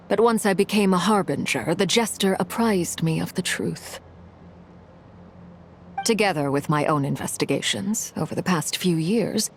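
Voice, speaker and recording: A cold, composed noblewoman commander from a video game. She speaks formally and with controlled restraint, her voice set a little lower than most women's. A faint noise sits beneath her lines.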